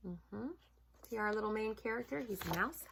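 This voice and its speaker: A woman with the expressive manner of a first-grade teacher, reading a story aloud to young children. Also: mid-range voice, normal speed, creaky, very noisy.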